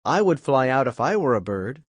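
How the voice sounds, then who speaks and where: A man's voice: mid-range voice, very animated, moderate pace, breathy edge, no room sound. A man voicing an earnest seven-year-old boy in a storybook reading. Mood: wondering.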